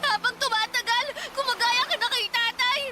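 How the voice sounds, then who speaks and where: Very high-pitched defiant young Filipina. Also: female voice, fairly even intonation, moderate pace, a crowd in the background, noisy background. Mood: defiant, upset. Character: defiant young Filipina granddaughter, sharp-tongued little sister. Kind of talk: Filipino radio drama acting.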